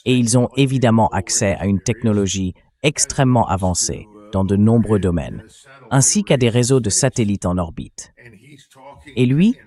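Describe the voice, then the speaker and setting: A man's voice: on the low side, a little mellow. A man with a synthetic-sounding voice giving military and political commentary as a guest, in emphatic, short declarative sentences. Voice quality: AI-generated translation voice.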